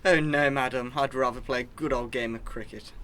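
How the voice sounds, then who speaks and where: A man's voice: medium pitch, fairly even intonation, a little fast, bright, forceful tone. A man acting an obliging, formal butler in a radio drama, speaking in a pompous, servile, florid manner. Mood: courteous.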